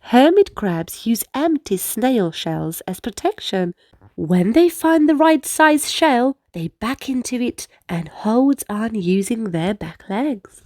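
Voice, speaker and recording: A woman reading a children's book aloud as narrator, in an expressive storytelling manner. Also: on the high side, slow pace, no room sound, studio quality. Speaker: storybook reader.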